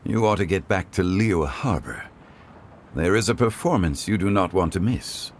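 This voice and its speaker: An actor playing a calm, courteous, ageless scholarly gentleman in a video game, speaking in a formal, measured, eloquent way. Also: low voice, a little hiss or hum. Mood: courteous, serene. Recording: soft music under the voice.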